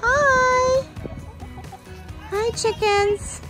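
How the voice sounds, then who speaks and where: A woman's voice: very high-pitched, fairly even intonation, drawn-out words, music in the background. A woman in her thirties, a mother narrating a casual family vlog, cheerful and giggly as she cheers the kids on.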